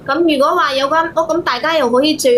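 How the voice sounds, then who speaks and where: Very high-pitched, hesitant woman caller. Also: quick pace, fairly bright tone, a little hiss or hum. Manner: hesitant, defensive, repeating herself. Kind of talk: explaining a family problem on a call-in.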